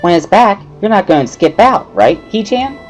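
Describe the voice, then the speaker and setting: A man voicing a cheerful, excitable teenage schoolgirl in a gushing, sing-song way for a visual novel reading. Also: high voice, moderate pace, soft background music, voice pushed up. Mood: pleading, earnest.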